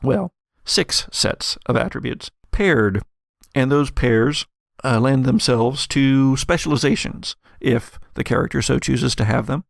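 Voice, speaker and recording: A man narrating as game master of a tabletop roleplaying game, explaining things in a halting, carefully qualified way. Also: mid-range voice, creaky, dry recording, clean studio sound.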